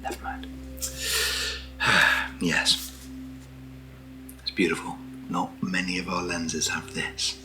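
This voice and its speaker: A British man speaks very softly in a deep voice, often slipping toward a whisper. He takes his time as he chats in an unscripted ASMR style, murmuring gently playful asides. Music plays clearly behind him. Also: level tone, takes it slowly, long pauses, rough recording. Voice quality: soft, breathy, often near whisper. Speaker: ASMR product reviewer. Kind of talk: soft-spoken ASMR, unscripted unboxing chat.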